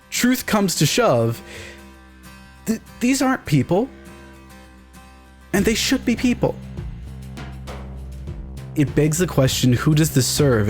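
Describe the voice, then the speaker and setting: A man's voice: medium pitch, darkish tone. A man speaking as a podcast guest, discussing fantasy games in an emphatic, candid, opinionated way. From Canada. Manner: emphatic, candid, swears casually. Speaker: podcast guest, game writer.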